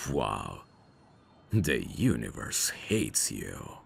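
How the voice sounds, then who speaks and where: A man's voice: rumbling low, gravelly, slightly rough, heavily acted. A man playing a suave, dangerous mafia boss in an ASMR roleplay, cocky, flirtatious and teasing. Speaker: voice actor.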